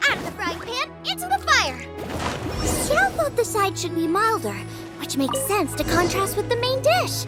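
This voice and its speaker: A woman's voice: very high-pitched, sing-song, normal speed, loud music behind the voice. A woman playing an eager, spirited young female chef in a video game, talking in a bubbly, exclamatory way. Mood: excited, determined. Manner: exclamatory, bubbly, thinking aloud. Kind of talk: animated video game acting.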